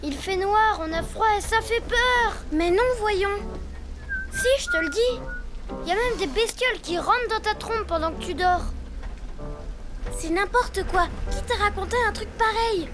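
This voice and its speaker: A woman's voice: very high-pitched, very animated, measured pace, music in the background, exaggerated voice. A woman playing plaintive, chatty little elephant children in a children's cartoon, with a childlike, whiny delivery. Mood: grumbling, chatty. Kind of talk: dubbed children's cartoon acting.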